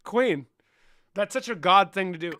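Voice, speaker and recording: A man hosting a livestream, talking casually and mockingly with lots of swearing, jumping between loud outbursts and mumbles. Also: sits high, expressive, unhurried, very noisy. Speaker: livestream host. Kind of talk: casual livestream chat and debate.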